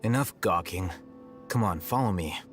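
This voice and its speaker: Man playing an aloof, low-voiced young scholar. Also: soft background music, natural voice. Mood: impatient. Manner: measured, detached, condescending, articulate. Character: aloof, cerebral young male scholar, cool and self-assured. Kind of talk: video game voice acting.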